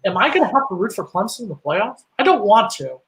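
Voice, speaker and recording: A man co-hosting a casual sports chat, ranting sarcastically in a high voice. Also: big pitch swings, fast, a bit bright. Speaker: co-host.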